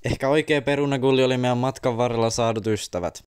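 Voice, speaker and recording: A casual young guy talks in slang with an average-pitched male voice played by a man. It sounds like an everyday delivery for a homemade comedy cartoon dub. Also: steady tone, darkish tone, clear, resonant tone, faint music behind, natural voice. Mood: casual, sincere. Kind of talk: amateur comedy fandub.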